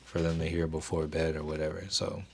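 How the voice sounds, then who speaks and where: A young man hosting a casual stock trading livestream, talking in a soothing, even voice and chatting with viewers in a laid-back way. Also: a bass voice, a little fast, gravelly, slight background noise. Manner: laid-back, keeps delivery even, reads chat aloud.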